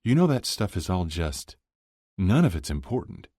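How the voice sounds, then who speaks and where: A man's voice: sits low, big pitch swings, normal speed, warm tone, no room sound, studio quality, voice pushed up, heavily acted. A man voicing a modest, sincere young sports star who speaks a little hesitantly, in an audiobook romance. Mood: earnest, tender. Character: earnest, modest male pro hockey star in love.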